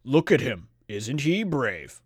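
A man's voice: mid-range voice, animated, normal speed, no room sound, clean studio sound, natural voice. A man voicing a grumpy, blustering beast-man lord with theatrical indignation, reading from a fantasy storybook. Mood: mocking. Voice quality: raspy growl.

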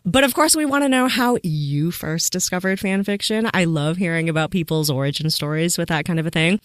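A woman's voice: fairly low pitch, fairly quick, dry recording. A woman hosting a podcast interview, chatty and enthusiastic, laughing often as she asks questions. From American Southwest. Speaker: podcast host. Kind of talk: casual interview.

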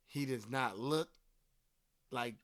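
A man's voice: mid-range voice, takes it slowly, dry recording. A man with a Philadelphia area accent, co-hosting a casual book-club chat and telling stories with swearing and lots of fillers. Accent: Philadelphia (Delco). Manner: animated storytelling, swears casually, many fillers. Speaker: podcast co-host.

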